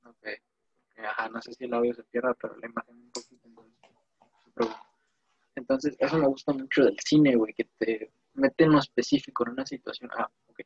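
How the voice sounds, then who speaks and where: A man's voice: mid-range voice, fairly quick, vocal fry, slightly rough, dry recording, lo-fi sound. Young Mexican man, slangy and digressive. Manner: slangy, digressive, animated storytelling. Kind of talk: casual chat.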